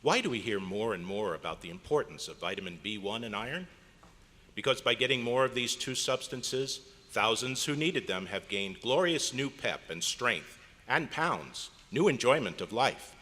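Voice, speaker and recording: A man's voice: medium pitch, animated, moderate pace, pushed and bright. A man playing an old-time radio announcer, dramatic and persuasive, in a live recreation of a 1940s broadcast. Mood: eager selling. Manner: dramatic, emphatic, salesmanlike. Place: large hotel meeting room at a convention. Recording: echoey hall, live stage performance.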